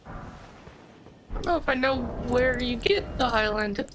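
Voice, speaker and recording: A woman's voice: fairly high pitch, expressive, measured pace, fairly bright tone, slight background noise. A woman streamer chatting casually as she plays, explaining game mechanics with frequent restarts. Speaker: gaming streamer. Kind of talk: casual gameplay commentary.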